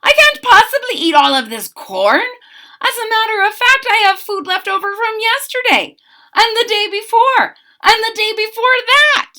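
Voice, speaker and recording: A plump, excitable man who loves cooking exclaims every thought at full volume, as a woman reading a storybook puts him on. The voice rides high and swings wildly from note to note, bright and sharp at the edges. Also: moderate pace, exaggerated voice. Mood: worked up. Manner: exclaiming, loud and overjoyed.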